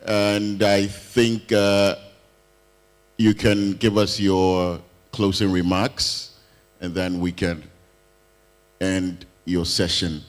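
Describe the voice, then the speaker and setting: Male moderator with a lowish voice and a slow, deliberate, repetitive delivery. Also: flat delivery. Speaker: session moderator. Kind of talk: hosting an online session.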